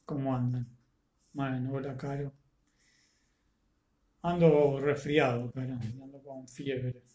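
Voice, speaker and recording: Casting: Rioplatense Spanish man, teacher with a cold, slow and unhurried, echoey room. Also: mid-range voice, fairly even intonation, dark tone. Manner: greets attendees by name, halting with many fillers. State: congested with a cold and fever. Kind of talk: live online lecture.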